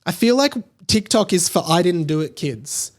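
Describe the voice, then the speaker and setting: Male podcast host, slightly high-pitched, conversational and rambling monologue style. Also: animated, normal speed. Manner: rambling, conversational, often tags points with "right?". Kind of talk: casual solo podcast monologue.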